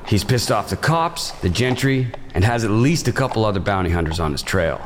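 An actor playing a weary, hardened bounty hunter on the frontier, curt and threatening. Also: male voice, lowish voice, animated, brisk, a little hiss or hum, lightly acted. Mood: gruff. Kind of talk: western horror audio drama acting.

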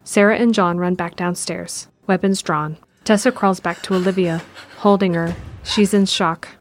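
A woman narrating a scripted audio drama in a measured, descriptive way. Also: fairly low pitch, fairly even intonation, slight background noise.

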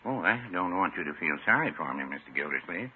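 Casting: dry, unhurried older pharmacist, husky voice, 1950s radio sitcom with thin old sound. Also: male voice, sits low, exaggerated voice. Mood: mild, droll. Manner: deadpan, unhurried. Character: dry, mild older druggist, long-married man. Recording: tinny 1950s radio recording.

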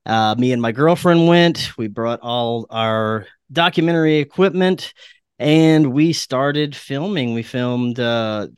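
Male American podcast guest, mid-pitched, smooth and clean voice, casual and enthusiastic, dry sound. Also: slow pace, no room sound. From Louisiana, United States. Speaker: podcast guest, documentary maker.

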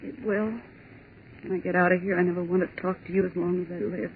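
An actress playing a frightened young woman trying to stay calm and reasoning out loud, in 1940s radio drama style, on a tinny, scratchy old broadcast. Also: on the low side, quick pace, natural voice. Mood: shaken, defiant. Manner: tense, insistent, clinging to reason.

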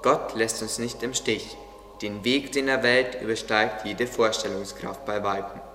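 A devout gardener played by a man in a radio play, solemn, fond and preaching. His forceful, bright voice carries in a long reverb with music underneath. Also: on the low side, steady tone, measured pace. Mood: consoling, reverent. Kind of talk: religious radio play acting.

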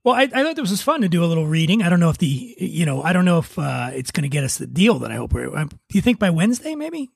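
Husky, gravelly man hosting a podcast. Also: on the high side, sing-song, quick pace, few pauses, no room sound, studio quality. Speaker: podcast host. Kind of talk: casual chat.

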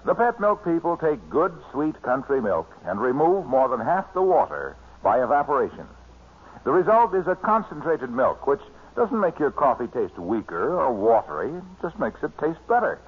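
An American man working as announcer, delivering scripted introductions and sponsor pitches in 1940s radio style. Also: wildly swooping pitch, normal speed, breathy edge. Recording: tinny vintage radio broadcast recording.

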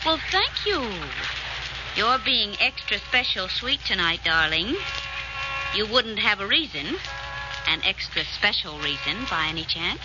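An actress playing a detective's witty, sardonic young sweetheart, with dry playful quips and an American accent, in 1940s radio drama style. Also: female voice, medium pitch, sing-song, a little slow. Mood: dryly sardonic. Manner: dry, playful, arch quipping. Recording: muffled old radio recording with music.

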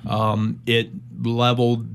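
A middle-aged American man, a military veteran, recounting a personal war story in an interview with measured, reflective pacing and frequent pauses. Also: medium pitch, completely flat delivery, creaky, clear, resonant tone. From United States.

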